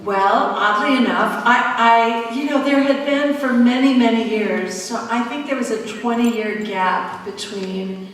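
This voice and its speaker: An older woman tells a story in a chatty, animated way, her voice a touch high. The mic sits far from her, and a big hall's long reverb rings around every word, with a faint hiss beneath. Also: measured pace. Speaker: panel guest actress. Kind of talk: anecdote at a convention panel. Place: large convention hall. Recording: echoey hall from far off.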